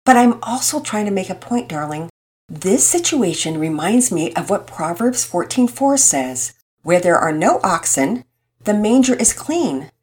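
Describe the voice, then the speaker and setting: A woman voicing a patient, gentle mother figure who speaks calmly and explains things like a teacher. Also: medium pitch. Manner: calm, warm, teaching tone.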